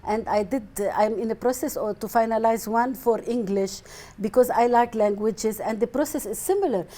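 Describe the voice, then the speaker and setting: Brief: female guest on a TV talk show, dark mellow timbre, earnest and repetitive. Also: a little high, moderate pace. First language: Arabic. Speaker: guest, mind mapping coach. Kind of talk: TV studio interview.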